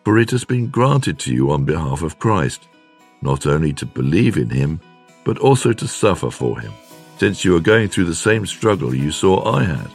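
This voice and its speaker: A man reading a scripted devotional in a measured, clearly phrased way. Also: low voice, sing-song, faint music behind. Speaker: devotional reader.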